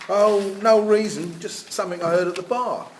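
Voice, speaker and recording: A man's voice: sits high, steady tone, normal speed, heavy background noise, close to an everyday voice. A man playing a polite, fussy doctor with a courteous, hesitant and familiar manner in a script reading. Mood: smooth, familiar. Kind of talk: audio drama script reading.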